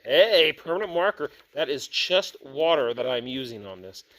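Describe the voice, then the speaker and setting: A man giving a casual hands-on product review, enthusiastic and folksy, thinking out loud as he goes. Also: mid-range voice, sing-song, moderate pace. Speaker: game shop owner and product reviewer. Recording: clearly noisy room recording.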